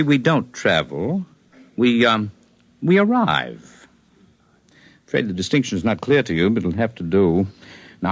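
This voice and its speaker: A calm alien healer who talks like a scholar, measured and faintly superior. His low voice rises and falls in lively swings. It sounds like an old radio play. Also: no room sound. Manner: measured, lofty, precise. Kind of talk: 1950s radio drama acting.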